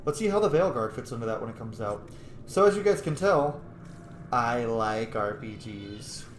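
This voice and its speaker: A man's voice: medium pitch, animated, moderate pace. A man giving casual, playful video game commentary, swearing now and then and rambling off into asides. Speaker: let's play streamer. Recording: roomy echo, faint background noise.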